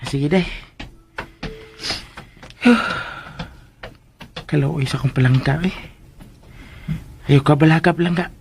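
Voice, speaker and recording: An actor with a Filipino accent playing an excitable, fussy male household helper in a radio drama, with a husky voice. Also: on the high side, takes it slowly, pauses often, a little hiss or hum. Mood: grumbling.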